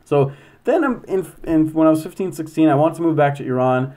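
A young man in his twenties, a podcast guest, explaining things quickly and animatedly over a video call. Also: fairly high pitch, a little mellow. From United States, Persian-speaking family. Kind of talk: casual podcast conversation.

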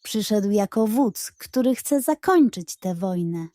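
A woman's voice: mid-range voice, lively intonation, unhurried, warm tone, no echo, lightly acted. A woman voicing a proud tiger pirate captain in a children's audio story, firm and composed.

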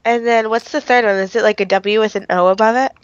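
A woman's voice: fairly high pitch, fairly even intonation, fast, slight background noise. A woman chatting casually as a friend playing along, picked up in a dull, off-mic recording. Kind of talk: casual chat. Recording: dull, roomy, off-mic pickup.

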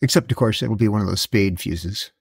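A man's voice: on the low side, brisk, creaky, breathy edge. Matter-of-fact man explaining a DIY install. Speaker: host of a DIY boat install video. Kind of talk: hands-on how-to narration.

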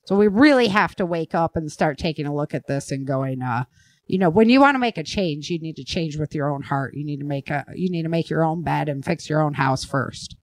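A woman's voice: sits low, expressive, brisk, no echo. Earnest American woman hosting a dry-sounding podcast. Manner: earnest, opinionated, emphatic. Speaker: podcast host and author. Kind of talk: casual talk show conversation.

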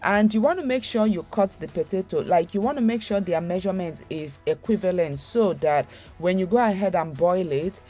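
Chatty woman with a mellow, low voice. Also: lively intonation, normal speed, faint music behind. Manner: chatty, rambling, many fillers and restarts. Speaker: cooking channel host. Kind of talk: casual recipe voice-over.

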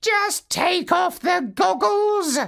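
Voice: extremely high pitch, animated, takes it slowly, gravelly, fairly bright tone, voice pushed up, exaggerated voice. A storyteller voicing a pompous, learned male parrot who talks down in a fussy, lecturing way. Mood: patronizing.

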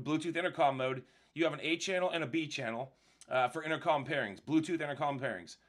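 A man's voice: on the high side, a bit bright. A man presenting a casual step-by-step product demonstration in a conversational, explanatory way.

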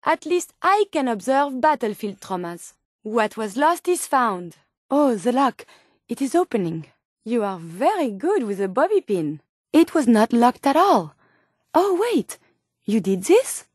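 An actress playing a polite, curious robot scientist in a video game, with a French accent and a formal, precise, earnest manner. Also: female voice, fairly high pitch, big pitch swings, unhurried, a little mellow, no room sound, clean studio sound. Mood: alert, formal. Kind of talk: video game companion voice lines.

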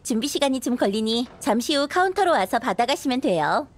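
An actress playing a polite, gently cheeky young tavern waitress with a courteous service manner in a video game. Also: female voice, a little high, sing-song, fairly quick, faint music behind.

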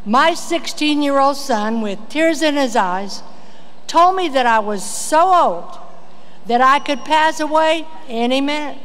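Guest speaker, female, seventies, high voice, dry humor, reverberant auditorium with some noise. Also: animated, a little slow, fairly bright tone. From Texas. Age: 70s. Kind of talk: prepared humorous commencement speech. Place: large auditorium stage at a commencement. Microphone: podium microphone. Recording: reverberant hall with background noise.

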